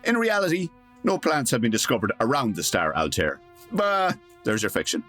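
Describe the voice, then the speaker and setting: A man's voice: medium pitch, sing-song, fairly quick, over music, lightly acted. A man playing a chatty, wry old-time radio announcer, theatrical and pompous, given to tongue-in-cheek asides. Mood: mock-solemn, wry. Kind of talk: theatrical scripted radio narration with comic asides.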